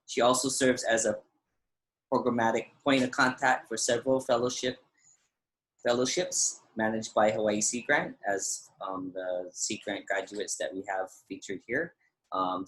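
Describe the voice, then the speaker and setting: A man's voice: on the low side, a bit bright, poor audio. A man hosting a talk event, introducing a guest in a plain presenting style. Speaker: event host.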